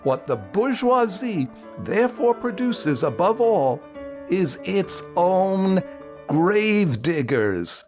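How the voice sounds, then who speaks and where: A man's voice: high-pitched, big pitch swings, takes it slowly, darkish tone, soft background music. A man reading a political text aloud dramatically, in an emphatic, declamatory, oratorical way. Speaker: radio presenter reading aloud.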